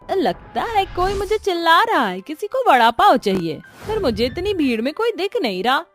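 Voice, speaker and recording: A woman's voice: very high voice, big pitch swings, moderate pace, a bit bright, soft background music, pitched above the performer's own voice, full character voice. A woman voicing a busy, hard-working street-food seller, eager and calling out, in a moral story.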